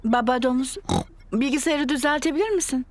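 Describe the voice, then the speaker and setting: A woman voicing a gentle, practical mother pig in a children's cartoon dub, with a motherly, expressive and clear delivery. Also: sits high, fairly quick, a little hiss or hum, lightly acted. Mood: calling, firm.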